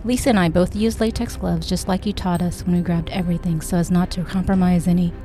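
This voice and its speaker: A woman's voice: fairly low pitch, fairly even intonation, fast talker, warm tone, faint music behind, close to an everyday voice. A woman voicing a confident, plain-spoken young granddaughter in an audiobook, assured and matter-of-fact. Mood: earnest.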